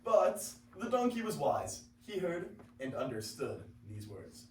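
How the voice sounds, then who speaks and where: A man's voice: fairly high pitch, very animated, unhurried. A teenage boy playing the narrator of a folk tale in a scripted reader's theater reading. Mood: storytelling. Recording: roomy, distant classroom recording, faint noise.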